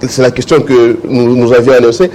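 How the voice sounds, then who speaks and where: A man's voice: mid-range voice, fairly even intonation, brisk, mellow, a little hiss or hum. A man speaking as a jurist guest in a morning interview, explaining legal texts and reading articles aloud to the host. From Democratic Republic of the Congo.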